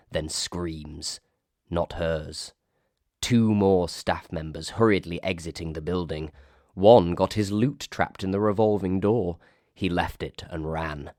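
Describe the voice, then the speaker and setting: A man with a Northern English accent reading a comic ghost story aloud, lively, lifting into high voices for the quoted characters. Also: dry recording, clean studio sound. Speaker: reader.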